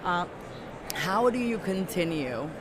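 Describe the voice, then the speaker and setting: A woman's voice: fairly low pitch, very animated, slow, crowd noise. A woman chatting casually as an interviewer. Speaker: interviewer, conference volunteer. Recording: clear urban background noise.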